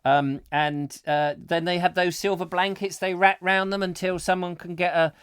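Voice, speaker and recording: A man's voice: high voice, little pitch movement, moderate pace, fairly bright tone, clear, resonant tone, dry recording. A man hosting a live comic chat show from a small studio, rambling jokily from one silly aside to the next. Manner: jokey, digressive, lots of fillers and silly asides. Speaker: host.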